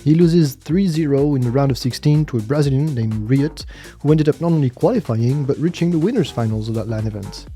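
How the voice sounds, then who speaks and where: A man narrating a documentary in a measured, scripted storytelling voice. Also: mid-range voice, warm tone. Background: background music.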